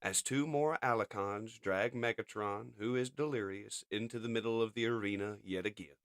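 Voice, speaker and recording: A man's voice: lowish voice, normal speed, dry recording, studio quality. A man narrating a comic as scripted drama in a theatrical, emphatic way.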